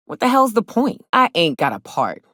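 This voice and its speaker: An actress playing a hard-drinking frontier hunter and guide in a video game, blunt and wry, with folksy speech. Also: female voice, mid-range voice, very animated, normal speed, a bit bright, dry recording, studio quality. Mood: weary, questioning. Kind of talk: video game companion dialogue acting.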